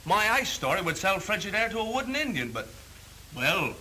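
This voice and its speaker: A brash 30s high pressure salesman, high piercing voice, punchy slangy bragging, old film hiss. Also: sing-song, normal speed, bright, forceful tone, heavily acted. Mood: brash, boastful. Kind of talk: 1930s training film acting. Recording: hissy, noisy 1930s film soundtrack.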